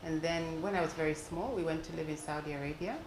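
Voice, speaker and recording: A woman in her forties from England speaks earnestly as a guest, leading a prayer in a very low voice. Her voice carries a roomy echo with clear background noise around it. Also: steady tone, quick pace. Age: 40s. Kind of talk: interview and spoken prayer.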